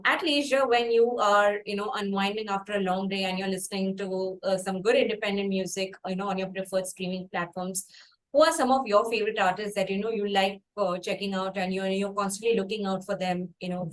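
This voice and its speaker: A woman with an Indian accent hosting a conversational interview in wordy, measured phrases with pauses. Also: medium pitch, fairly even intonation, poor audio. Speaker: podcast host.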